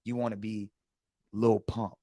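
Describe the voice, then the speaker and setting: A man's voice: lowish voice, a little slow, no room sound, studio quality. A man hosting a casual talk show, speaking animatedly and often restarting his sentences. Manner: animated, many repeated starts. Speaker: host. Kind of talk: casual conversation with a guest.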